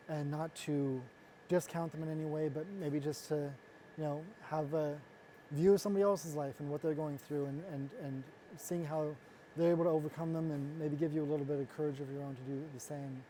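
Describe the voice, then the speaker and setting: A man from the Los Angeles area hosting a casual interview, talking earnestly and haltingly with restarts. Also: on the high side, fairly even intonation, mellow, a little hiss or hum, low-quality recording. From United States, Los Angeles area. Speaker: host, interviewer.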